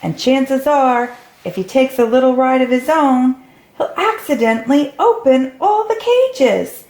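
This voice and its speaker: A woman's voice: sits high, expressive, measured pace, a little mellow. A woman reading a storybook aloud to young children. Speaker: storybook reader. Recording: roomy, echoey recording.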